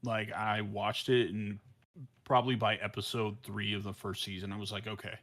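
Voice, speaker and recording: Low-voiced man in rambling podcast chat. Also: little pitch movement, normal speed, fairly bright tone. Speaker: podcast panelist. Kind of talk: casual fan chat.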